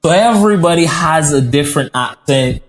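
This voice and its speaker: A man from Barbados, a guest chef telling stories in casual interview chat, animated and given to quick bursts of speech. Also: fairly high pitch, faint music behind.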